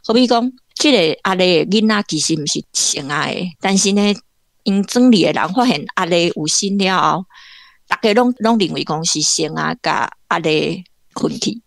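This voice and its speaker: A woman's voice: fairly low pitch, expressive, moderate pace, creaky, no echo. A woman with a Taiwanese accent giving conversational literary commentary in an explanatory way, like a guest academic.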